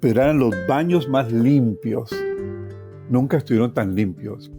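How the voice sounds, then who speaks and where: A man's voice: mid-range voice, a little slow, over music. A man from Colombia with a Colombian accent, telling his own life story as a guest. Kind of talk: personal storytelling.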